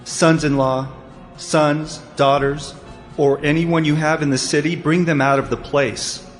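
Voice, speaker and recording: A man's voice: on the high side, steady tone, unhurried, close to an everyday voice. A man playing a stern angel, grave and commanding, in a dramatized scripture reading. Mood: grave, urgent. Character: stern, commanding male angel sent to destroy a city. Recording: long reverberant echo, music behind.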